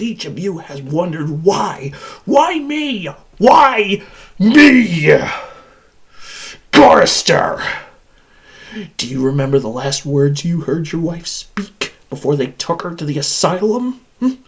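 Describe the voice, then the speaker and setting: A man's voice: on the high side, darkish tone, breathy edge, full character voice. A man voicing an insane, sadistic supercomputer that hates its human captives, in a theatrical and menacing villain monologue. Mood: cold, menacing, then mocking cruelty. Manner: theatrical, menacing, swinging from whispers to screams.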